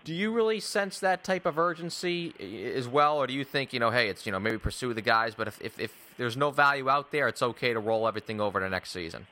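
Fast, bright-voiced male sports show host. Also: mid-range voice, lively intonation. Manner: wordy, rambling lead-ins to questions. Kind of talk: conversational sports talk show interview.

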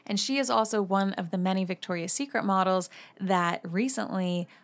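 A young adult woman, hosting a casual gossip podcast and chatting freely with quick asides and the odd swear. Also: medium pitch. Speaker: podcast host.

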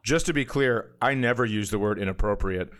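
A man's voice: fairly low pitch, brisk, a bit bright. A middle-aged American man co-hosting a comedy podcast in casual, joking banter with profane, wry humor.

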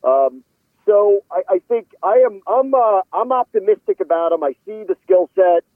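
A man's voice: high-pitched, expressive, normal speed, dry recording. A middle-aged man, a sports reporter, giving casual conversational analysis as a guest on a show. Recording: tinny narrow phone-like line.

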